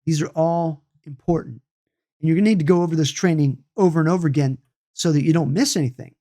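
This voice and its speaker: A man's voice: a little high, fast, warm tone. A man in his late fifties giving a loose, conversational lesson on energy healing and meditation, restarting and repeating himself as he goes. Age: 50s. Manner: loose, repetitive, many restarts and asides.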